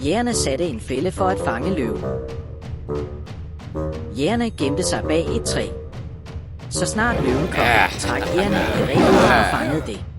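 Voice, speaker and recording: Animated children's story narrator, scripted reading, mid pitch, loud music behind. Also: pauses often.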